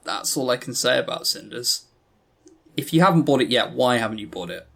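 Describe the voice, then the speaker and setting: A man's voice: medium pitch, expressive, fairly quick, creaky, a bit bright. A man with a mid-range voice co-hosts a casual review podcast. He talks in a rambling, opinionated way and swears freely as he chats. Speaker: podcast co-host. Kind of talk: casual chat, game review.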